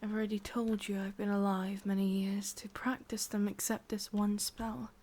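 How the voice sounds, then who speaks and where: A woman's voice: mid-range voice, flat delivery, moderate pace, darkish tone, a little hiss or hum, lightly acted. A woman with an English accent playing a possessive, sadistic ancient witch in a whispered ASMR roleplay, coaxing and controlling. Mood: wistful, menacing.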